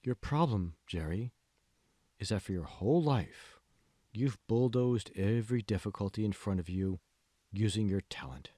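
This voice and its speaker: A man playing a calm, manipulative male mentor in an audiobook, speaking slowly and deliberately with hushed, condescending questions. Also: fairly low pitch, no echo, studio quality. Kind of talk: dramatic audiobook dialogue.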